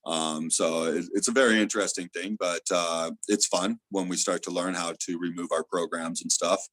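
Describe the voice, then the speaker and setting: Guest: man, very low voice, loud and earnest, dry close sound, explains with many fillers. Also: steady tone, normal speed, no room sound. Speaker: theta healer guest. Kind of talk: casual spiritual interview talk.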